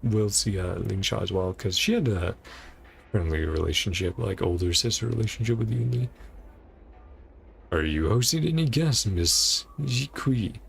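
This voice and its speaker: A man's voice: on the low side, lively intonation, normal speed, vocal fry. A man casually commentating a video game playthrough, reading bits of on-screen text aloud in clipped fragments over music.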